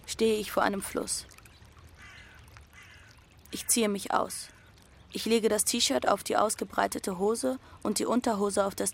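A woman's voice: mid-range voice, gravelly, slight background noise, natural voice. A woman playing a defiant teenage runaway girl who narrates her journey matter-of-factly in an audio drama. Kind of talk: first-person literary narration in audio drama.

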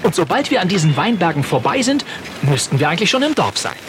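A man's voice: on the high side, very animated, fast talker. An actor playing a brash, overconfident boy who leads his friends in a children's audio play, heard from a worn cassette. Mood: bossy. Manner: brash, overconfident, prim when polite. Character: bossy, reckless boy leader of the gang. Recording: hissy old cassette.

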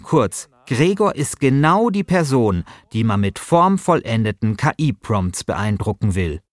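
A man's voice: medium pitch, big pitch swings, unhurried, no echo, clean studio sound, faint music behind. A middle-aged man hosting a podcast as a journalist, speaking colloquially in a mix of casual chat and first-person narration.

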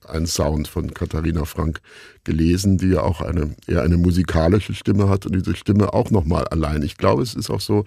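A man's voice: low-pitched, creaky, warm tone, slightly rough, no echo, studio quality. A man being interviewed as a radio play maker, thinking aloud in a reflective, discursive way.

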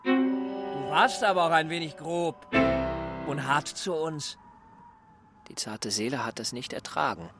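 A worn-out former assistant with a fairly high, very lively voice. He sounds sickly, reproachful and mocking in radio-play style, with prominent music behind him. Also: unhurried, long pauses. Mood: plaintive, mocking. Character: Jeremias, tired, sickly former assistant, slyly plaintive man. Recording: loud music underneath.

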